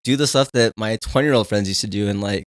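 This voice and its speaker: A man's voice: on the low side, fast, dry recording, clean studio sound. Laid-back candid man in his thirties. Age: 30s. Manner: laid-back, candid, swears when riled. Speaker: podcast guest. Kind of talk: casual conversation.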